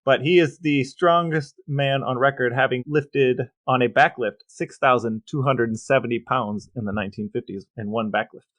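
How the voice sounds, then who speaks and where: A man co-hosting a podcast, chatting casually and delivering playful facts and figures with a deadpan touch. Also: medium pitch, moderate pace, hardly any pauses. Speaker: podcast co-host. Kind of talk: casual chat with playful calculations.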